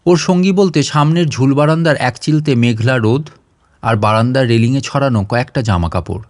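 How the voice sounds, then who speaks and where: A man's voice: mid-range voice, a little fast, no room sound, studio quality. A man reading a scripted short story as an audio narrator.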